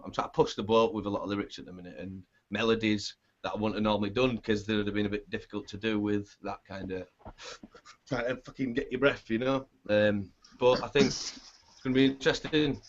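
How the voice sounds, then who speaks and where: Male singer, low scratchy voice, relaxed rambling chat, dull lo-fi recording. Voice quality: scratchy, raspy voice. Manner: laid-back, rambling, swears casually. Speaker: band singer. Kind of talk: casual interview chat. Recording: dull lo-fi sound.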